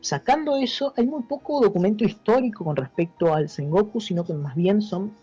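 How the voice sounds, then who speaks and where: A man's voice: high-pitched, expressive, a little fast, mellow, slightly rough. An Argentine man speaking as an interview guest, explaining things informally like a teacher and checking understanding with short tag questions. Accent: Argentine Spanish. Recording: dull lo-fi audio with music behind. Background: music.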